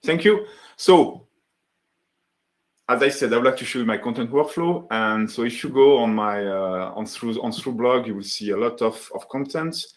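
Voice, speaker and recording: A man from France with a French accent, hosting a live webinar as founder of a software tool, greeting viewers in the chat and demoing his workflow. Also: mid-range voice, normal speed. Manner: friendly, greets chat viewers by name, many fillers.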